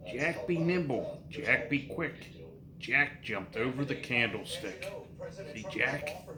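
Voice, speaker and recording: A man reading nursery rhymes aloud to his child in a sing-song, unhurried way, pausing and repeating lines. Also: medium pitch, animated, echoey room, crowd noise.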